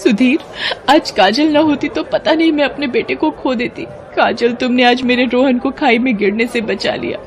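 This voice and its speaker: A woman's voice: high-pitched, moderate pace, pitched above the performer's own voice. A woman voicing a vain, scheming elder daughter-in-law in a cartoon family melodrama, with a theatrical, wheedling manner. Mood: tearful, grateful.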